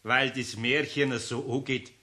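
A man's voice: mid-range voice, fairly even intonation, sharp tone. A man with a Bavarian accent playing a kindly, good-natured older master carpenter in a radio play, chuckling heartily. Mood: fond. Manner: chuckling, hearty, drawn-out exclamations. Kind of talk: 1960s German radio play acting.

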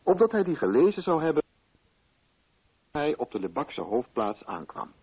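An actor as a measured, ironic male storyteller, giving formal, deliberate radio drama narration in a husky voice. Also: a little high, very animated. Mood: measured, grave. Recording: muffled, phone-like old radio recording.

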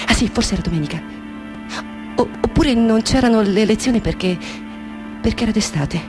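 An older mother played by an actress reminisces in a radio play over clearly audible music. She speaks wistfully and haltingly, doubting her own memory and correcting herself as she goes. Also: a little high, lively intonation, natural voice. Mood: confused, searching. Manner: hesitant, self-correcting, wistful, questioning herself. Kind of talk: radio drama monologue. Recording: music under the voice.

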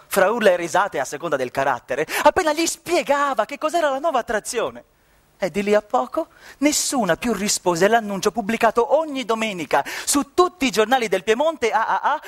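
A man's voice: sits high, a bit bright. A man narrating a story as a stage actor in a radio play, with a dramatic, ironic delivery that savours each description.

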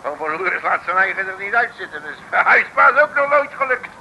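A sharp, high-voiced Dutch detective in a comic radio play. He is brash and slangy, swooping wildly as he grumbles and blusters. The old recording has a faint layer of noise. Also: big pitch swings, moderate pace, full character voice, low-quality recording. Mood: alarmed, indignant. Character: cheeky working-class male detective, brash, grumbling, hungry. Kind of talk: 1960s comic radio serial acting.

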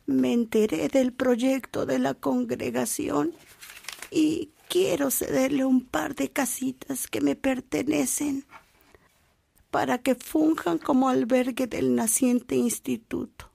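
Creaky, high-voiced dignified widow. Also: female voice, fairly even intonation, dark tone, no echo, full character voice. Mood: formal, generous. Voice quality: creaky, husky. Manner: formal, deliberate. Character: dignified, generous widowed lady benefactor. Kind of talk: radio drama acting.

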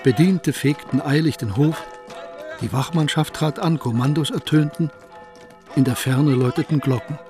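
A man narrating a radio play in a steady storytelling manner. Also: fairly high pitch, normal speed, over music. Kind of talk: scripted radio drama narration.

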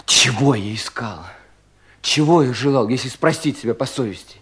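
An actor playing a troubled, self-doubting young provincial official in a 1970s Russian radio play, in an anxious, theatrical manner. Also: male voice, mid-range voice, normal speed, slightly rough. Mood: agitated, questioning. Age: young adult. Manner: brooding, theatrical, self-questioning, with sudden swells and hushed drops.

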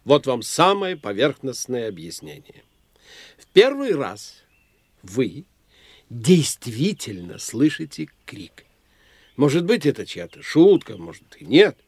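An animated, indulgent older doctor. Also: male voice, mid-range voice, takes it slowly, husky, no room sound, exaggerated voice. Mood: analytical. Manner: pondering, indulgent, chuckling, lecturing. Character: self-assured eccentric doctor of the soul, older man. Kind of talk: theatrical audio drama dialogue.

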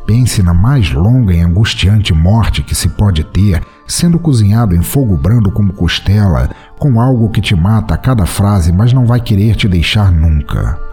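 A man's voice: lowish voice, animated, moderate pace, few pauses, mellow, faint music behind. Brooding male story narrator. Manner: brooding, bitter, intimate. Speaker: podcast narrator. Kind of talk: dramatic first-person story narration.

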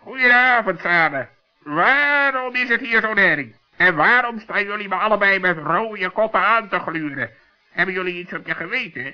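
A man's voice: sits high, animated, moderate pace. A man playing a dignified, sensible talking bird in a 1960s children's radio play, formal and reproving. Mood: stern. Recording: dull old radio recording with music.

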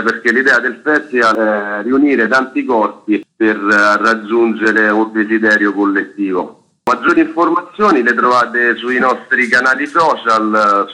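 A man's voice: lowish voice, sharp tone, poor audio. A man speaking as a festival organizer, announcing an event programme in an informational way and listing details.

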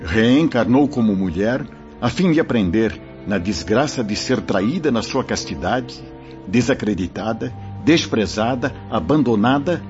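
Male actor as grave didactic mentor spirit, radio drama, mid pitch, lively inflection, faint music. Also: measured pace, close to an everyday voice. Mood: grave lesson. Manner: solemn, didactic, formal. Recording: dull lo-fi audio, music behind.

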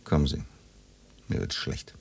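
A man's voice: a little slow, darkish tone, lightly acted. An actor playing a cautious, grumbling male radio editor who is uneasy and reluctant, in a naturalistic radio drama. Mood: disgusted, uneasy. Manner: wary, grumbling.

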